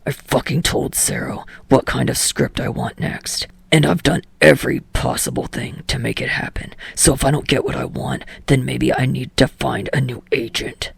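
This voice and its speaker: A woman voicing an irritable, guarded young man in an audiobook story, with a low raspy growl and a terse, grumbling manner. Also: fairly even intonation, normal speed, few pauses, no room sound, exaggerated voice. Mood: angry, bitter. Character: gruff, irritable young male actor, foul-mouthed, guarded.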